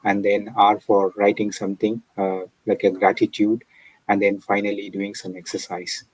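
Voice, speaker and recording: A man's voice: on the low side, monotone, normal speed, no echo, low-quality recording. A man explaining things step by step as a guest in a chat. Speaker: panel guest.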